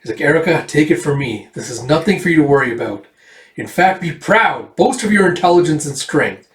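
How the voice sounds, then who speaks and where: A man's voice: fairly high pitch, animated, brisk, far from the mic, lightly acted. A boastful, vengeful villain voiced by a man talks down to others with theatrical grandeur in a tabletop game. The voice sounds roomy and set back from the mic. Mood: gloating, coaxing. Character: vengeful, scheming, self-proclaimed wicked man, boastful villain.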